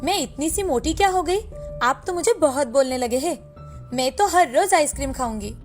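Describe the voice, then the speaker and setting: A woman's voice: high-pitched, expressive, normal speed, soft background music. A woman voicing a stubborn, dismissive young wife in a moral story. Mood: defiant. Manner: complaining, brushing off concern. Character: stubborn, dismissive young wife who loves ice cream.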